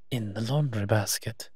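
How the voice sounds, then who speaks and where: Casting: male actor for teasing monster detective's partner in audio roleplay; unhurried, husky delivery, very dry close sound. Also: medium pitch, fairly bright tone, no room sound, heavily acted. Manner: slow, teasing, with hums and pauses. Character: wry, dark-clad male monster partnered with a human detective. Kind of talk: roleplay audio drama acting.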